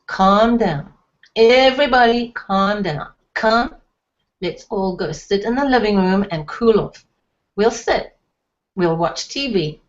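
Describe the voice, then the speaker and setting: A woman's voice: mid-range voice, animated, slow pace. A woman voicing a harried middle-aged father, placating and blustering, on a slightly dull lo-fi recording. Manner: placating, then yelling with exasperation.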